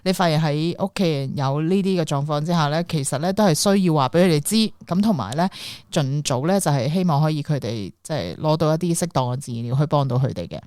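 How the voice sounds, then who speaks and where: A woman's voice: low voice, lively intonation, fast, a little mellow, no room sound. A woman with a Hong Kong Cantonese accent casually interviewing a guest as a radio host.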